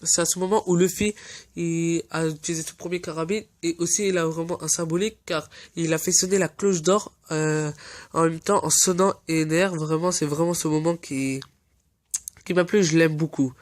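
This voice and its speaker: A man hosting a fan channel, stuffy from a cold, giving casual, earnest commentary that often pauses to search for words. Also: on the high side, fairly even intonation, no echo. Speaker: anime fan channel host.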